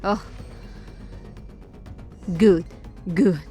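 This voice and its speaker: A woman's voice: medium pitch, drawn-out words, warm tone, soft background music. A woman streaming a game, casually reacting to play and reading bits aloud.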